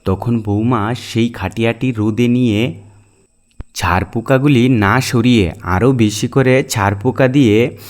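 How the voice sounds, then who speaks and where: A man's voice: mid-range voice, measured pace, darkish tone. A man reading scripted narration as the storyteller of a folk tale. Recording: music behind the narration.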